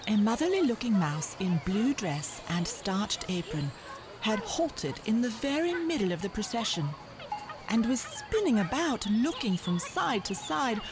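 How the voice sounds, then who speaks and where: A woman tells a children's storybook tale with lively, varied intonation. Her narration is scripted and literary, with music playing behind it. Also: a little high.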